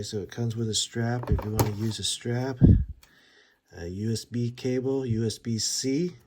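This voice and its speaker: A man's voice: on the low side, heavy background noise. A man giving a casual, hands-on gear demonstration, informal and a little hesitant as he thinks aloud. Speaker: gear reviewer. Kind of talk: casual unboxing and setup demonstration.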